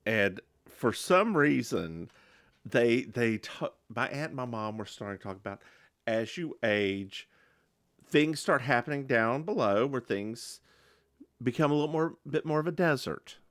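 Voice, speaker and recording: A man co-hosting a podcast, recapping a TV episode in casual, campy chat with animated storytelling. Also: unhurried, no room sound, clean studio sound. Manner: animated, campy storytelling with sudden high-pitched impressions. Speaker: podcast co-host.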